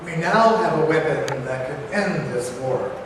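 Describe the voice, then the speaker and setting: A man's voice: on the high side, animated, unhurried. A man acting a formal, careful senior adviser to a president in a staged play reading. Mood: formal, advising. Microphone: camera microphone. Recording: echoey hall, faint noise.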